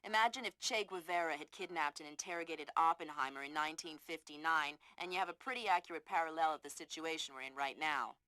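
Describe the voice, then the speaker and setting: A woman's voice: sits low, fast, hardly any pauses, pushed and bright, no room sound. A woman playing a cool, articulate intelligence analyst in a video game briefing. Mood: serious.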